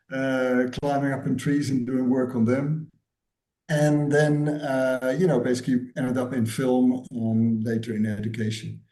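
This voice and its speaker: A man's voice: mid-range voice, mellow. A Dutch-born man in his sixties, a guest author and educator, answering interview questions thoughtfully and often repeating words as he searches for phrasing. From Netherlands. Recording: roomy echo.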